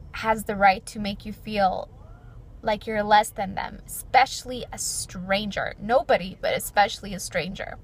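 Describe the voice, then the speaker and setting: A woman in her twenties, talking earnestly and chattily to her viewers, with a fairly deep voice. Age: 20s. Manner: earnest, chatty, frequent "like" and "you know". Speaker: beauty vlogger. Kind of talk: personal reflective chat to camera.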